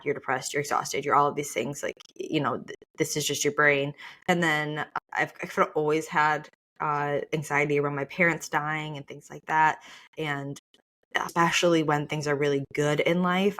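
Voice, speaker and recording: Low-pitched woman, candid podcast talk. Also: a bit bright. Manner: open, self-reflective, confiding. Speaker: podcast co-host. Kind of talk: candid personal conversation.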